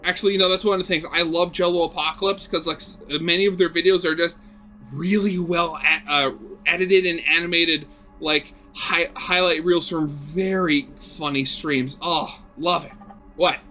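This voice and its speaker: A man's voice: a little high, fairly even intonation, moderate pace, bright, forceful tone. A man reading a visual novel aloud as a gaming video host, with casual, rambling commentary.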